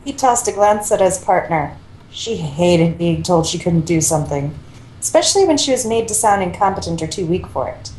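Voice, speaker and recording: A woman's voice: fairly low pitch, expressive, moderate pace, darkish tone, a little hiss or hum. A woman reading fan fiction aloud as narrator, in a plain amateur way with small stumbles. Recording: roomy, echoing home recording.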